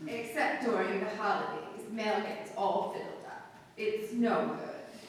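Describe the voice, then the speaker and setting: A woman's voice: mid-range voice, unhurried, lightly acted. A woman playing a chatty, blunt, opinionated elderly shopkeeper in an old-time radio drama, folksy and plain-spoken. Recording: very echoey hall, distant mic, noisy.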